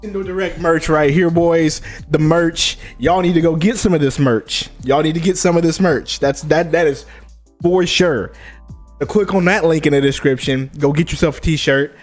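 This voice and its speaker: Young male streamer, upbeat and chatty, slightly high voice, desk mic, faint music underneath. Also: fairly even intonation, moderate pace. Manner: hyped, chatty, greets chat by name. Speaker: gaming news streamer. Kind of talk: casual live-stream chat. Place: home streaming setup.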